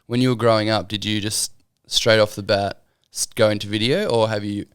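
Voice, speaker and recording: Low-voiced New podcast host. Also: male voice, fairly even intonation, brisk, a bit bright, clean tone, no room sound, studio quality. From New Zealand. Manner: chatty, jokey, asks rambling questions. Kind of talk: casual podcast interview. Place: podcast room.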